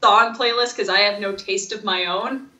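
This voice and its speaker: Bright-voiced woman chatting in an echoey room. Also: medium pitch, steady tone, moderate pace. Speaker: podcast co-host. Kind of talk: casual chat.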